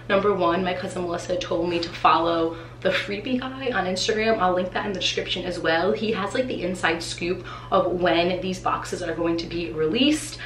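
A woman in her thirties, a teacher from New England, chatting excitedly through an unboxing with a congested, nasal voice from allergies. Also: on the low side. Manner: chatty and excitable, many "like" fillers. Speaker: teacher and vlogger. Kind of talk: casual unboxing vlog. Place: small room indoors. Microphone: camera in front, seated. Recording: echoey room, faint hiss.

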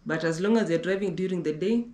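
A woman's voice: on the low side, fast talker, a little mellow. Chatty young woman in an echoey room. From Kenya. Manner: chatty, repeats herself, restarts often. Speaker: travel vlogger. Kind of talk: casual conversational travel talk. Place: indoor living room on a sofa.

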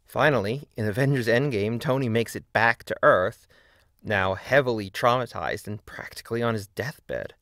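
A man's voice: medium pitch, moderate pace, fairly bright tone, dry recording. A man narrates a video essay in a mid-range voice. He is emphatic and opinionated, with wry, casual asides breaking up the script. The sound is dry and close.

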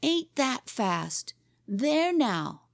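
A woman's voice: fairly high pitch, a little slow, a little mellow, dry recording, clean studio sound, voice pushed up, lightly acted. A woman voicing a proud, defensive small boy in a storybook, skeptical and stubborn, repeating himself. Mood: triumphant. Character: anxious, defiant small boy, proud of his toy. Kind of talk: storybook character reading.

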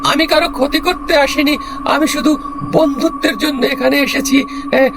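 An excitable, loyal cartoon buddy in an extremely high, creaky voice. He pleads with emotion and repeats his words, with clear noise around him. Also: normal speed. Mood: earnest.

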